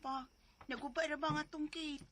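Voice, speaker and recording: A woman's voice: sits high, fairly even intonation, normal speed, fairly bright tone, no room sound. A woman acting a concerned young child in a radio drama. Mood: asking.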